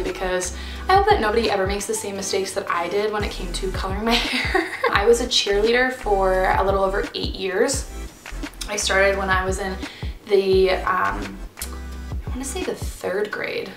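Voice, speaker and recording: Chatty young woman with music behind. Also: mid-range voice. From Pennsylvania, USA. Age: 20s. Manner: chatty, bubbly, rambling with fillers. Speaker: vlogger. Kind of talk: casual chat to camera. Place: at home in her bed. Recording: roomy echo with music behind.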